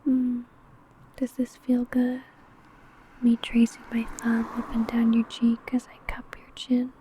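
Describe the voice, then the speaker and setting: A woman playing a seductive, possessive female vampire who stalks her prey in an intimate ASMR roleplay, teasing and menacing in a breathy, often whispered voice. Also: on the high side, monotone, warm tone, slight background noise, lightly acted. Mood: enamoured, seductive. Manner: slow, teasing, menacing, deliberate.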